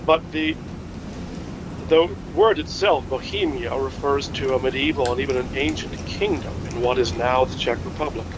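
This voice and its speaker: A man playing a learned, hesitant history scholar in a naturalistic fiction podcast, speaking in a halting, pedantic way. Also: medium pitch, noisy background, lightly acted. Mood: thoughtful, explanatory.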